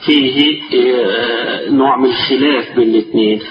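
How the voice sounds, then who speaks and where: A man with an Egyptian accent teaching a religious lesson. His voice is tired and strained. He reads a text slowly, then explains it in colloquial speech and repeats the key phrases. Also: medium pitch. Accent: Egyptian Arabic. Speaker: sheikh teaching a class. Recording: distant, echoey, dull-sounding lecture recording with music.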